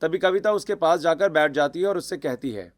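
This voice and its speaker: A man's voice: a little high, a little fast. A man narrating a scripted cartoon story.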